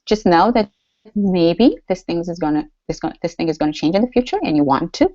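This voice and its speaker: A woman's voice: on the low side, expressive, fairly quick, mellow, no room sound, dull audio. A woman with a Romanian accent hosting a live online workshop, chatty and full of fillers and restarts. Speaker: workshop host. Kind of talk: live webinar teaching.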